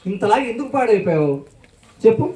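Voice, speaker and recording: High-voiced man hosting in an echoey hall. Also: animated, fairly quick, warm tone. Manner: exclaiming, questioning, echoing replies back. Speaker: puppet show host. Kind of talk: animated live children's show banter. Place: indoor church hall. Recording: echoey hall, distant from microphone.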